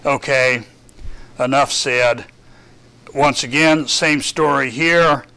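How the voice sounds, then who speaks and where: An older man from Texas with a Southern accent, casually walking a class through software in a darkened lecture room, thinking aloud with self-deprecating asides. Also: mid-range voice, takes it slowly, vocal fry. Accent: Southern US, Texan. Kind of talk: software lab walkthrough. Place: dark lecture room with projector screen.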